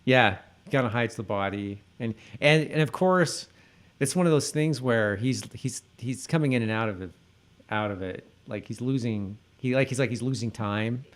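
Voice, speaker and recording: A man co-hosting a podcast in casual chat, halting, often repeating words and trailing off. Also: medium pitch. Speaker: podcast co-host.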